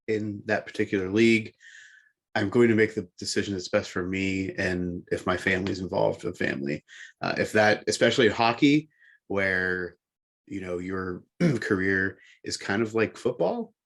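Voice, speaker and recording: Lowish-voiced man talking sports, thinking aloud. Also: normal speed, fairly bright tone. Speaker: co-host of a sports talk show. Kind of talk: casual opinionated sports discussion.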